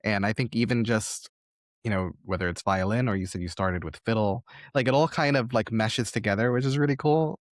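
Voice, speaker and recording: A man's voice: on the low side, fairly quick, dry recording, clean studio sound. A man hosting a casual interview, speaking in an encouraging, affirming conversational way. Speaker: podcast host, interviewer.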